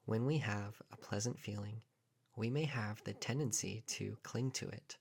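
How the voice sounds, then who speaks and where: Low-pitched man guiding a calm meditation. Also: vocal fry, husky. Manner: calm, measured, with long pauses between short phrases. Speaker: meditation guide. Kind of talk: guided meditation narration.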